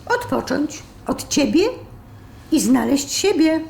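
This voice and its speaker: A woman playing a sharp, sarcastic, fed-up live-in partner in a radio drama, brisk and sardonic. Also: high voice, lively intonation, vocal fry, heavily acted. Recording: roomy sound with faint noise.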